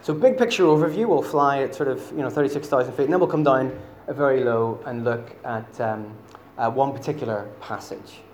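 A man's voice: medium pitch, a little fast, few pauses, a little mellow, reverberant, slight background noise. A man from Northern Ireland with a Northern Irish accent, preaching a sermon in a chatty, self-deprecating way with many fillers. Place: church hall.

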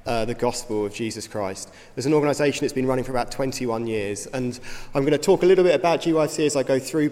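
A man with a slightly hoarse voice, giving an informal, earnest talk as a youth ministry director in a church. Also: medium pitch, a little mellow. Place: church hall. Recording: reverberant hall.

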